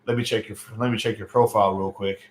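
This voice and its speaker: A man's voice: lowish voice, steady tone, fast, slight background noise. A man in his late thirties hosting a casual livestream, chatting loosely with viewers and joking about their comments. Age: late 30s. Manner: loose, chatty, joking with chat, lots of fillers. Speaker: livestream host. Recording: roomy echo on a home stream mic.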